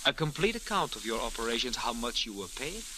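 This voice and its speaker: An actor playing a suave, smug foreign spy with deliberate, menacing politeness in an old-time radio drama. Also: male voice, medium pitch, sing-song, close to an everyday voice. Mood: smooth, smug. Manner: suave, deliberate, with amused chuckles. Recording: noisy, hissy old radio recording.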